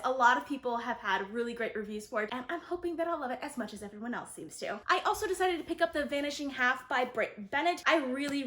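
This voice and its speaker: A woman's voice: high voice, a bit bright. A woman chatting casually to viewers like a vlogger, enthusiastic and gushing, with lots of emphatic repetition. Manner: enthusiastic, gushing, frequent "really" and "like". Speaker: book reviewer and vlogger. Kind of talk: casual chatty book haul talk to viewers.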